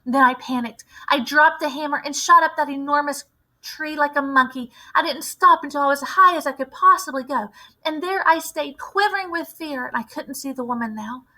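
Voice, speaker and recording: Bright, higher-voiced woman reading a story. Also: moderate pace, poor audio. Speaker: teacher reading aloud. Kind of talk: expressive storybook narration.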